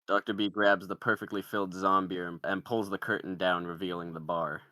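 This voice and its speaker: A man's voice: low voice, little pitch movement, fairly quick, bright, forceful tone, no room sound. A man doing scripted narration, reading out screenplay stage directions at a table read.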